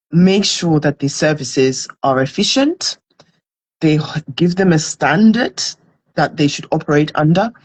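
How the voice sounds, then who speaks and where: A woman's voice: sits low, a little mellow, slightly rough, rough recording. A woman from Zimbabwe, a guest in a conversational interview, explaining earnestly with everyday examples.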